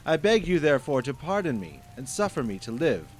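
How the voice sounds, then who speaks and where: A man's voice: medium pitch, very animated, a little fast, pitched above the performer's own voice. A man doing a trembling, pleading merchant. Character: terrified pleading middle-aged merchant.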